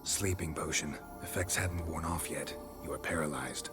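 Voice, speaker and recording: Stoic monster hunter, game hero, rock-bottom pitch, gravelly, terse, suspicious, world-weary. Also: male voice, moderate pace, over music. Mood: probing, matter-of-fact. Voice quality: gravelly, low. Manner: terse, deadpan, clipped sentences. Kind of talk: dramatic video game acting.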